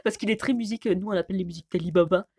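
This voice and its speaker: A woman's voice: on the low side, very fast, over a noisy crowd. A young woman co-hosting a casual chat about couples, teasing her partner and laughing often. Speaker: co-host.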